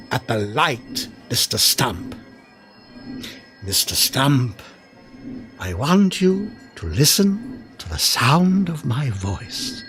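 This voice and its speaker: An actor playing a theatrical stage hypnotist in an audio drama, with a grandiose, commanding manner. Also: male voice, medium pitch, heavily acted.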